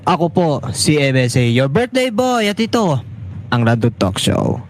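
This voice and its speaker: A man's voice: fairly high pitch, big pitch swings, a little slow, a little hiss or hum. A man in his twenties with a Filipino accent, hosting a talk show in a loose, rambling, informal way and mixing in English phrases. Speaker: internet radio talk show host. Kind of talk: casual rambling sign-off chat.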